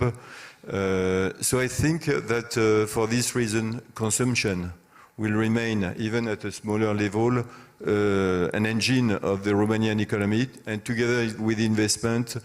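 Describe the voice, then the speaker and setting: Male business executive, lower register, hesitant unscripted panel remarks with frequent gaps. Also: steady tone. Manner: hesitant, halting delivery with long pauses. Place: business conference.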